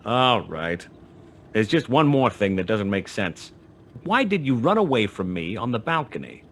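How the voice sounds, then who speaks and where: Noir radio play lead: terse, sardonic gumshoe narrator with a low voice and streetwise slang. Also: male voice, sing-song, normal speed, fairly bright tone, heavily acted. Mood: wry, probing. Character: wry male hard-boiled private detective, narrating his own case. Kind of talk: 1940s noir radio play acting, first-person narration.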